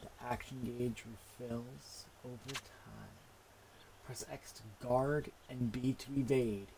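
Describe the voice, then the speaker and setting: A man's voice: medium pitch, steady tone, slow, vocal fry, noisy background. A man giving casual, impatient commentary as he plays a video game, muttering and swearing. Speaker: gaming video creator.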